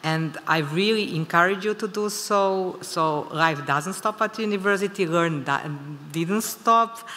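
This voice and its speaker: Bright, forceful Serbian-accented woman in echoing hall. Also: on the low side, unhurried, few pauses. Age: 50s. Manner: emphatic, exhorting, addresses listeners directly. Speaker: conference speaker. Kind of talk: motivational speech. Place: large indoor conference hall. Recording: reverberant conference hall.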